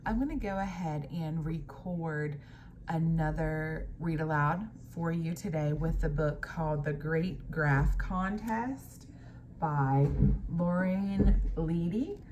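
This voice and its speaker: A woman teacher doing a picture-book read-aloud, pausing to explain things to young pupils. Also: low-pitched, a little mellow, a little hiss or hum.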